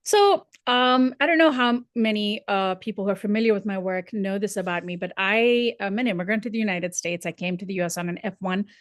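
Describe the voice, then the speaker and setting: A woman who grew up in Dubai talks through an issue in an earnest, thoughtful way, often pausing on fillers and restarting her sentences. Her voice sits in a middle pitch. The sound is dry and close, with no room around it. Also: no echo. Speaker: senior correspondent. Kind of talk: conversational commentary.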